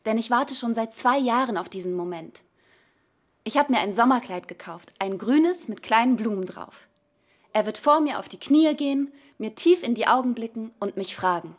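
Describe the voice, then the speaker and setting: A woman's voice: a little high, close to an everyday voice. Actress as wistful, confiding young woman. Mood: wistful, composed. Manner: measured, simple, confiding. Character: wistful young woman awaiting proposal, calmly foretelling her death. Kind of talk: radio play monologue.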